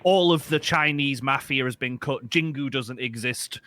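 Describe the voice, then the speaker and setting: A man's voice: mid-range voice, normal speed, pushed and bright. A young man with a Yorkshire accent, a stream guest in casual chat, ranting with animation and often correcting himself. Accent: Yorkshire English. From Yorkshire, England.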